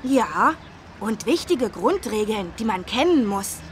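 A woman's voice: a little high, big pitch swings, unhurried, a little hiss or hum. A woman voicing an eager, helpful young schoolboy who explains things in a children's learning game. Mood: eager, knowing.

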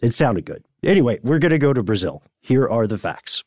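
Male podcast co-host, mid-pitched, dry close mic, wry and digressive delivery. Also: sing-song, brisk, darkish tone, no echo. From United States. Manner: wry, digressive, self-correcting with small laughs. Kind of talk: casual conversational podcast talk.

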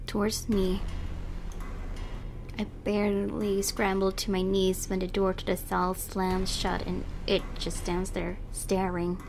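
A woman's voice: medium pitch, monotone, slow, noisy background. A young woman playing a game, reading its text aloud and reacting casually with giggles and exclamations. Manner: giggly, exclamatory, self-correcting. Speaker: let's player.

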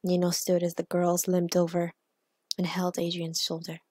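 A woman narrating fiction aloud in an expressive, measured, dramatic storytelling style. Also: on the low side, no echo, studio quality. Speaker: narrator and author of fan fiction.

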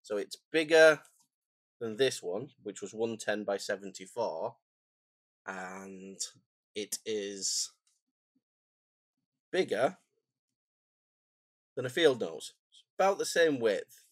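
A man, croaky and phlegmy from a cold, chatting casually and rambling through a stationery unboxing at his desk. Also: lowish voice, animated, slow pace, pauses often, dry recording. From England. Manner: rambling, self-deprecating, chatty asides. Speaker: stationery vlogger. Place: home desk, indoors.

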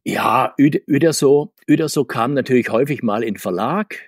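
A man's voice: mid-range voice, moderate pace, slightly rough, dry recording, clean studio sound. A man in his sixties, a guest on a video-call interview, talking in a rambling, chatty way and laughing between points. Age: 60s. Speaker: interview guest, comics marketer. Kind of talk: casual interview talk. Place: home room full of figures.

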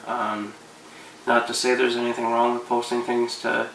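A man in his thirties giving relaxed, rambling fishing advice to camera from his living room couch, with lots of fillers. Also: medium pitch, normal speed, reverberant, distant mic, a little hiss or hum, poor audio. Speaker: fishing vlogger.

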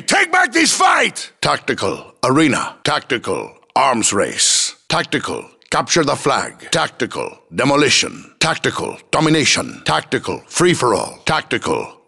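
A man's voice: medium pitch, gravelly, sharp tone, husky, full character voice. A man playing a militia commander as a video game announcer, giving short, clipped, commanding battle calls. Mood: urgent, fierce, then stern, controlled. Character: forceful militia commander barking battle updates.

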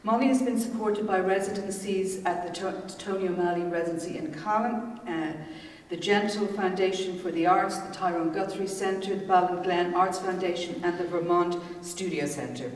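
A woman's voice: lowish voice, steady tone, moderate pace. A woman in her sixties, hosting at a gallery, reads a formal introduction from notes and runs through long lists of names and titles. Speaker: gallery host. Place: gallery room, standing. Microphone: far camera mic. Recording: echoey gallery room from far off.

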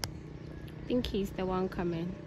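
A woman's voice: lowish voice, slow pace, background noise. A young woman, chatting casually to viewers while filming herself on her phone. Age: young adult. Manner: relaxed, chatty, talks to viewers directly. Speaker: vlogger. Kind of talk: casual vlog talk to camera. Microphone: phone camera at arm's length.

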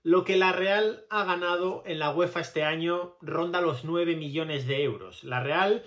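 A man in his thirties with a slightly high voice and a Spain Spanish accent. He hosts a football channel and talks casually to camera, wandering into asides and giving his opinions freely. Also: unhurried, a bit bright. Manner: conversational, digressive, opinionated. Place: small plain indoor room with pale walls.

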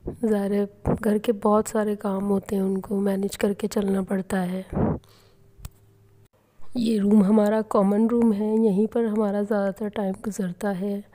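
A middle-aged woman calmly narrating her home cooking and daily routine vlog. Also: mid-range voice, unhurried. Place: home kitchen and rooms.

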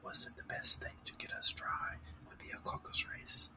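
Man playing a ponderous dodo, storybook, solemn, touchy, breathy whisper, sharp hiss. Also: extremely high pitch, fairly even intonation, moderate pace, distant mic, pitched above the performer's own voice, exaggerated voice. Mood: offended. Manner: pompous, ponderous. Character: solemn, long-winded, easily offended male dodo.

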